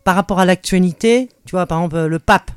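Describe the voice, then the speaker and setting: Chatty guy hosting an interview, high-ish voice, dry close sound, keeps rephrasing answers. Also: quick pace, smooth, dry recording. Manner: chatty, leading questions, rephrases guest's answers. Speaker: radio interviewer. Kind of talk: casual interview.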